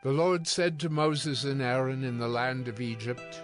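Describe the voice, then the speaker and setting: A man narrating scripture from a script in a formal, measured way. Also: mid-range voice. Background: faint music.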